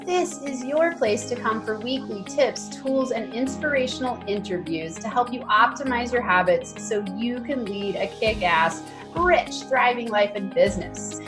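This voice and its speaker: High-voiced chatty woman podcast host. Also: animated, measured pace, hardly any pauses, music in the background. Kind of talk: podcast intro and interview.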